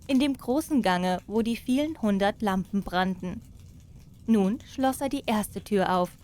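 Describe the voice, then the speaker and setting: A woman narrating a fairy tale as an expressive audiobook storyteller. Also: mid-range voice.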